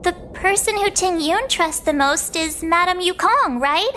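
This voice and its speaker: An actress playing a small, perky, childlike companion in a video game, with a cute way of talking. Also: female voice, very high-pitched, measured pace, sharp tone, a little hiss or hum, heavily acted. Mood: earnest. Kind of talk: video game dialogue acting. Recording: faint game music behind.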